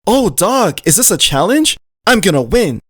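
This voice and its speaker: An actor voicing an eager, wide-eyed, food-loving dog in an animated visual novel story. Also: mid-range voice, moderate pace, husky, no echo, clean studio sound. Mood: overjoyed. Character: Meatball, excitable, naive, friendly, food-loving male dog narrator.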